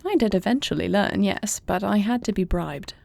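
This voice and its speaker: A woman playing an earnest young princess and heir in an intimate audio roleplay, soft-spoken and formal in her phrasing. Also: mid-range voice, lively intonation, normal speed, creaky. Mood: fond, playful. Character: earnest young princess and warrior heir, anxious yet tender.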